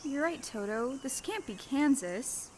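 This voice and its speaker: A woman's voice: sits high, animated, measured pace, very noisy. A woman playing an earnest, bewildered young farm girl in an audio play, speaking with breathless, exclamatory wonder. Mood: hushed wonder. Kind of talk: stage-style audio play acting.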